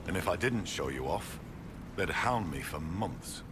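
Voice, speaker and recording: Solemn oracle shaman for a fantasy game, really low and swooping, ceremonial, noisy ambience. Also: expressive. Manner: ceremonial, unhurried, oracular. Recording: clear ambient noise behind voice.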